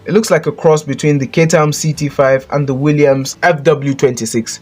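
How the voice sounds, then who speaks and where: A man's voice: fairly high pitch, fairly even intonation, a little fast, a little mellow, soft background music. A man with a Nigerian accent, hosting a casual countdown and giving blunt, joking opinions as a voice-over. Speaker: channel host. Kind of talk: casual list commentary.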